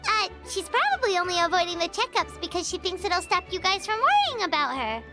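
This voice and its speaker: A woman voicing a tiny, chirpy, inquisitive fairy girl with a squeaky childlike voice in a video game. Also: very animated, a little fast. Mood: hesitant, reassuring. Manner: refers to self in third person, hesitant ums. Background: background music.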